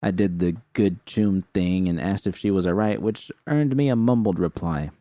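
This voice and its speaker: A man reads a first-person story with steady, even pacing. His voice is deep and mellow, recorded close and dry with no room sound. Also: normal speed, no room sound. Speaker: audiobook reader. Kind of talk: first-person fanfiction narration.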